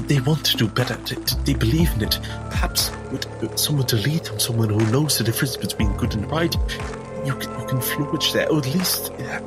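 Earnest man roleplaying over music. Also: mid-range voice. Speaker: player in a tabletop game. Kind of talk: in-character roleplay dialogue.

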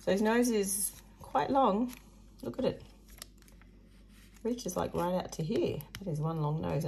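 A woman hosting a casual craft tutorial with a hoarse, croaky voice, chatting and muttering asides as she works at a table. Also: on the low side, mellow, slight background noise. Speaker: craft video host. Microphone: overhead camera above a table, hands only.

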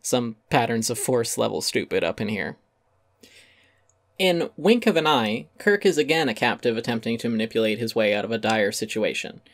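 A man's voice: mid-range voice, expressive, normal speed, no room sound. Man narrating an essay, very dry close recording. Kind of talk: dramatic reading of a critical essay.

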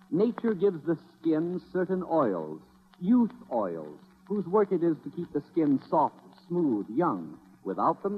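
A man's voice: fairly high pitch, slightly rough. A man announcing on 1930s radio with theatrical advertising patter and a salesman's delivery. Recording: tinny 1930s radio broadcast recording.

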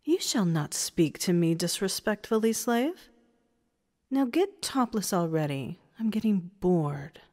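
A woman's voice: lowish voice, expressive, unhurried, a little mellow. A woman playing a domineering, condescending woman who commands and taunts in an audio story. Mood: threatening. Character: domineering, condescending woman running a training program. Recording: reverberant hall-like echo.

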